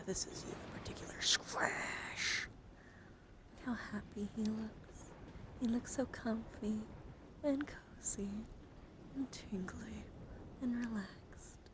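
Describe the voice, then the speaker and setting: A young woman streaming ASMR, chatting playfully and teasingly to viewers in a coddling way, drifting between soft talk and whispers close to the microphone. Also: a little high, slow pace, rough recording. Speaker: live streamer. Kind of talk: ASMR livestream with casual chat to viewers.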